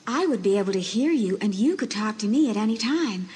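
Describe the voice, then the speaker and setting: A woman voicing a teenage girl's ghost in a mirror, soft, hushed and gently pleading. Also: mid-range voice, animated, a little fast, slight background noise, natural voice. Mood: coaxing. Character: faint ghostly teenage girl spirit in a mirror.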